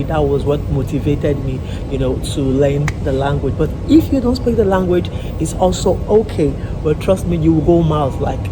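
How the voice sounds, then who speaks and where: A vlogger talks straight to the viewer in a mid-pitched voice, explaining things conversationally. Key words and phrases get repeated for emphasis. Loud outdoor city and traffic noise runs behind the speech. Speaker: vlogger answering questions about living abroad. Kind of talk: casual explainer vlog.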